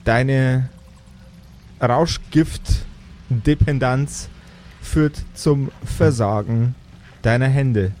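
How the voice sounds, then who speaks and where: A man with a medium-pitched voice narrates a fantasy tabletop game as its game master. He phrases things theatrically and leaves deliberate pauses. Faint noise sits behind the recording. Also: a little mellow.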